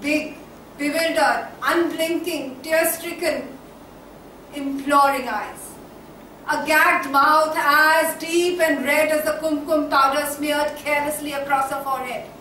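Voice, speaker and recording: A woman with an Indian accent acting an anguished, reflective figure in a declamatory, deliberate theatrical monologue on stage. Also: sits high, steady tone, pushed and bright, exaggerated voice. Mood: solemn, reflective. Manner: declamatory, deliberate, with dramatic pauses and repetitions. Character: anguished, reflective woman baring her soul in soliloquy. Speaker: stage actor. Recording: very echoey stage, distant mic, faint noise.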